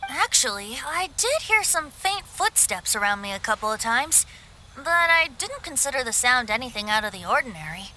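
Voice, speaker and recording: A woman's voice: on the high side, big pitch swings, normal speed, sharp tone, a little hiss or hum, rough recording. A woman playing a playful, mischievous young male bard in a video game, theatrical and lilting. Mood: casual. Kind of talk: video game cutscene acting.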